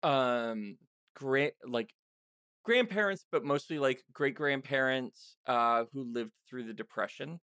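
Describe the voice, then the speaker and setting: A man's voice: mid-range voice, a bit bright, smooth, dry recording, clean studio sound. A man hosting a podcast in a casual, conversational way, often stopping and restarting as he thinks aloud. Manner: halting, self-interrupting, thinking aloud. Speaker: podcast host and interviewer.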